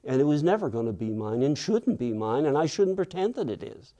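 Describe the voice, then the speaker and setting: A man's voice: fairly high pitch, sing-song, dark tone, muffled recording. An older man from Western Pennsylvania, an author telling reflective stories in an interview and acting out the voices of people he quotes. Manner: thoughtful, unhurried, acts out quoted people.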